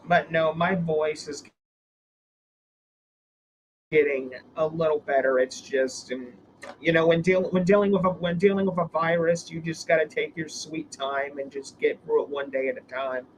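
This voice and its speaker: Hoarse man hosting a tech stream. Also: a little high, fairly even intonation, moderate pace, slight background noise, low-quality recording. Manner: rambling, with restarts and fillers. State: hoarse from illness. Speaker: live stream host. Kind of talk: casual live stream chat.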